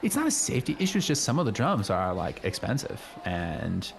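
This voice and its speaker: A man playing an earnest, know-it-all high school boy in an improvised comedy radio play, rambling casually with lots of slang. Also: huge pitch swings, a little fast, vocal fry, a little hiss or hum, exaggerated voice. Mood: earnest, explaining. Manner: rambling, hesitant, peppered with "like" and slang.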